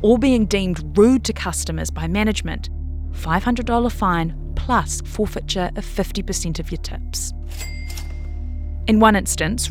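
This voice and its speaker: A woman reading a scripted long-form news feature as narrator. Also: lowish voice.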